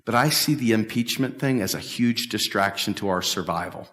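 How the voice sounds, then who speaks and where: A middle-aged man giving an animated, emphatic talk at a policy event, repeating his points and gesturing as he answers questions. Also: on the low side, moderate pace. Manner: emphatic, repetitive, talks with big hand gestures. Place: large bright hall with windows, standing before a stage table. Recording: echoey hall from far off.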